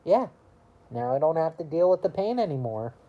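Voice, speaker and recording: A woman in her early twenties chatting casually to camera about her year, rambling and halting, with lots of "you know" fillers. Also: deep voice, big pitch swings, lo-fi sound. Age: early 20s. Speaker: vlogger.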